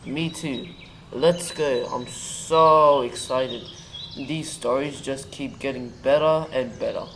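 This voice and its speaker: Helpful young hero, acted by a male performer, middle pitch, school radio play, faint birdsong and noise. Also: steady tone, takes it slowly, fairly bright tone, low-quality recording. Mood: excited. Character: Jack, keen helpful boy hero in storybook.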